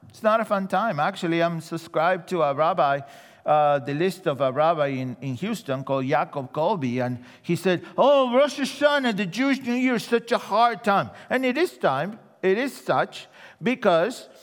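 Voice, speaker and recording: A man from Argentina with an Argentine Spanish accent, preaching a sermon and telling humorous stories, dropping his voice low for the punchlines. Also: moderate pace, big-room sound. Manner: animated storyteller, drops voice low for punchlines. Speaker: guest preacher.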